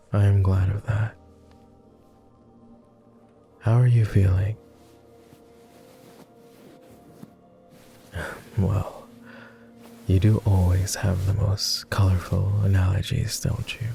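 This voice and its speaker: A man's voice: low-pitched, level tone, takes it slowly, vocal fry, long pauses, mellow, soft background music, heavily acted. A man voicing a devoted, world-weary immortal vampire companion in intimate roleplay ASMR, speaking tenderly and earnestly in formal phrasing. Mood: relieved, caring. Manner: tender, earnest, hesitant, somewhat formal speech.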